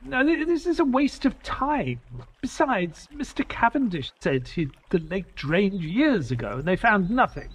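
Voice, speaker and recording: Audio drama companion-narrator, male actor, skeptical grumbler, higher register, unhurried and husky. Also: heavily acted. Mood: exasperated. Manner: exasperated, expressive storytelling.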